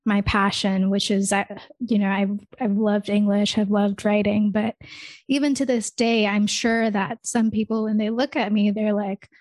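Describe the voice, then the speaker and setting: A woman's voice: mid-range voice, level tone, normal speed, darkish tone, dry recording. Brief: woman, twenties, from Michigan, thoughtful casual talk with frequent fillers, dry close mic. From Michigan, United States. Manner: earnest, thoughtful, many "like" fillers. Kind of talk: casual conversation.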